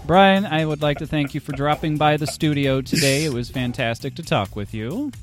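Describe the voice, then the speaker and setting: A man's voice: fairly high pitch, normal speed, soft background music. A man hosting a podcast in casual chat, with a bantering, teasing manner. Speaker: podcast host.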